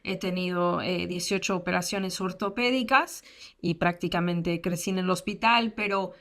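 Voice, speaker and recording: A woman's voice: lowish voice, moderate pace, a bit bright. A woman in her thirties, raised in the United States, telling her life story as a guest speaker in a candid, self-deprecating way with many fillers. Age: 30s. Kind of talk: personal testimony in a lecture.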